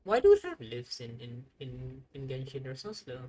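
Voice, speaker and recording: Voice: medium pitch, wildly swooping pitch, normal speed, warm tone, slight background noise. A game streamer giving casual livestream commentary, rambling and thinking aloud.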